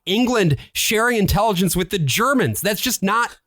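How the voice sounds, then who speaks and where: A man's voice: sits high, animated, moderate pace, a bit bright, dry recording, studio quality. A man co-hosting a podcast, talking casually and analytically with friends and putting questions to them. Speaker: podcast co-host.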